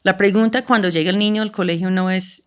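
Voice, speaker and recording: A woman's voice: medium pitch, fairly even intonation, fast, clear, resonant tone. A woman speaking as an interview guest and education coordinator, giving practical tips in an explanatory way and often checking in with "¿sí?". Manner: checks in with listener using "¿sí?". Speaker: school language program coordinator.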